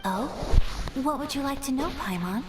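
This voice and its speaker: An actress playing a courteous, grave young noblewoman in a fantasy video game, speaking formally with measured, precise diction. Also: high-pitched, lively intonation, recorded from a distance, heavy background noise. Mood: composed, courteous. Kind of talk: scripted fantasy game voice acting.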